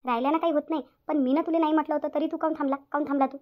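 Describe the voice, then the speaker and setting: Woman acting a high-pitched, nagging village mother-in-law. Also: steady tone, rapid-fire, lightly acted. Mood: angry, accusing. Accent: Vidarbha Marathi. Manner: nagging, repetitive rhetorical questions. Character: stern middle-aged village mother-in-law, possessive, scolding and self-pitying. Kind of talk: melodramatic cartoon family drama. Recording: dull lo-fi audio.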